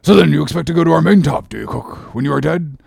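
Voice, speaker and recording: Bossy, teasing male ship's officer, performed by a man reading a storybook, very lively and husky. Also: fairly high pitch, quick pace, no echo, clean studio sound, voice pushed up, full character voice. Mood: mock-stern. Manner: animated, needling, mock-solemn interrogation. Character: bossy, mocking sailor officer lecturing his cook. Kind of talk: audiobook character reading.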